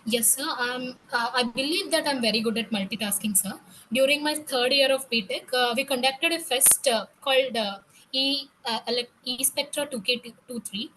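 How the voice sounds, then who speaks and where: A woman's voice: sits high, moderate pace, bright, forceful tone, rough recording. A woman in her early twenties from Visakhapatnam with a Telugu Indian accent, answering formally and deferentially as a job interview candidate. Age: early 20s. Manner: deferential, addresses interviewer as sir. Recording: clear fan noise behind voice. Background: whirring fan.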